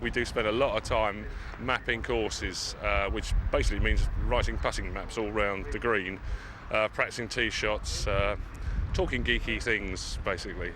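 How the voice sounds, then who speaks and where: A man's voice: lowish voice, steady tone, normal speed, hardly any pauses. A man giving a casual, unscripted interview as a competitor. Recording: noisy outdoor interview.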